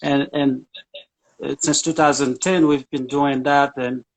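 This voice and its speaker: A man's voice: mid-range voice, level tone, a little slow, low-quality recording. A man from Haiti, a charity founder being interviewed, talking casually with frequent fillers and restarts. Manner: hesitant, many fillers and restarts.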